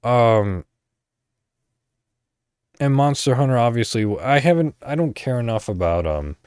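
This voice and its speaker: A man's voice: medium pitch, lively intonation, measured pace, darkish tone, clear, resonant tone, no room sound, clean studio sound. A man hosting a casual solo podcast, rambling as he thinks aloud and swearing offhandedly. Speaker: podcast host.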